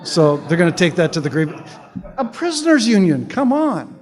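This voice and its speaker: A man's voice: sits high, big pitch swings, moderate pace, darkish tone. Sarcastic man speaking in an echoing hall. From Canada. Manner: sarcastic, conversational, repeats words when restarting. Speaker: public speaker. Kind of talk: political speech to an audience.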